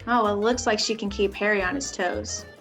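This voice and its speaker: A woman's voice: medium pitch, fairly even intonation, moderate pace, fairly bright tone, soft background music, natural voice. A woman playing a loving, meddling middle-aged mother in a 1940s-style radio play, coaxing and knowing. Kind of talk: 1940s radio drama acting.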